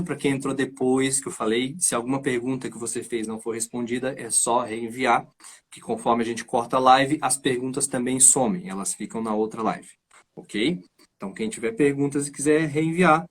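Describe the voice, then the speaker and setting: A Brazilian man with a husky voice, talking casually and explaining things as host of a live stream about voice. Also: mid-range voice, normal speed, fairly bright tone. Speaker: live show host and voice coach.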